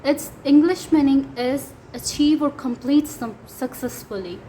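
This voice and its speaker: High-pitched Pakistani woman teaching languages. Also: unhurried, warm tone, a little hiss or hum, low-quality recording. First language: Urdu. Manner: hesitant, many fillers. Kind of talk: casual language lesson.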